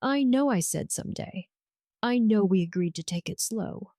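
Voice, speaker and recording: A woman voicing a gentle, devoted man in love in an audio romance story, tender and sincere. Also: medium pitch, animated, moderate pace, no room sound, clean studio sound, close to an everyday voice. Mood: earnest proposing.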